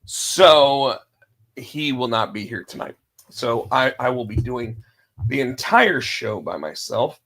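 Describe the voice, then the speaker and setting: A man with a gravelly, crackling voice hosts a casual podcast on his own. He is under the weather and losing his voice, so he clears his throat often. He rambles along with fillers and restarts at an ordinary middle pitch. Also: lively intonation, takes it slowly, a bit bright. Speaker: podcast host. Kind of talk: solo casual wrestling podcast talk.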